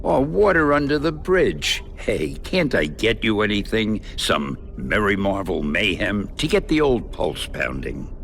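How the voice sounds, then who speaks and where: Old shopkeeper, genial, folksy patter, swooping intonation, faint noise behind. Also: male voice, on the low side, sing-song, measured pace, vocal fry, hardly any pauses, a bit bright, heavily acted. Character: avuncular old comic shop man, folksy and genial.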